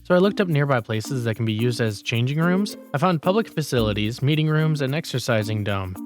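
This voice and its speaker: Blunt, lazy male senior colleague, played by a man, grumbling manga-cartoon critic with lively intonation. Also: mid-range voice, brisk, soft background music, natural voice. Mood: matter-of-fact, critical. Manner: matter-of-fact, grumbling, critical. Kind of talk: manga dialogue voice acting.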